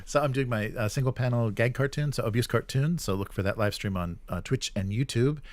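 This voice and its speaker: A man hosting a casual live podcast, chatting in a halting way and often restarting his phrases. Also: mid-range voice, a little mellow, dry recording. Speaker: podcast host.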